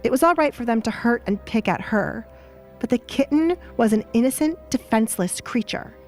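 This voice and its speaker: A woman's voice: a little high, lively intonation, normal speed, a little mellow. A woman reading scripted, dramatic story narration. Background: music.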